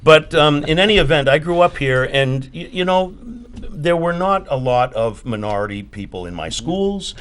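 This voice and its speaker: A man's voice: fairly high pitch, lively intonation, normal speed, few pauses, fairly bright tone. A man who grew up in New Hampshire, co-hosting a talk show in an eager way, often repeating words as he starts. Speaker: co-host.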